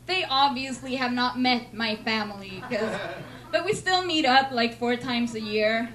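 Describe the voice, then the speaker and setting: A young woman from Sweden with a Swedish accent, doing a deadpan, self-mocking stand-up set in a comedy club. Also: fairly high pitch, unhurried, a crowd in the background. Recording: noisy club recording.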